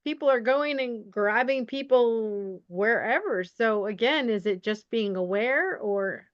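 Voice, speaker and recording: A woman podcast host interviewing in a casual, conversational way, often halting as she searches for words. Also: a little high, animated, no room sound. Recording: dry, slightly dull lo-fi audio.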